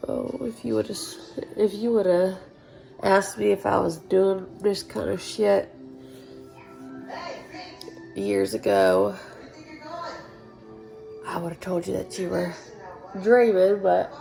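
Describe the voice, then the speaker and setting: A woman's voice: medium pitch, animated, slow, gravelly, long pauses, dark tone, faint music behind, low-quality recording. A woman with a Southern US accent, congested and nasal from sinus trouble, chatting bluntly in her kitchen with swearing and dry humor. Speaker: homesteading vlogger. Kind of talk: casual chat to camera. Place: home kitchen.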